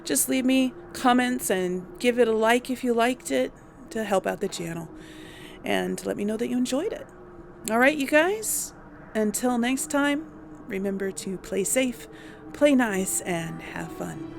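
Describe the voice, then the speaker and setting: A woman's voice: on the high side, lively intonation, unhurried, vocal fry, a little hiss or hum. A woman hosting a gaming video with informal, chatty let's play commentary. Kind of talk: casual let's play commentary with role-played dialogue.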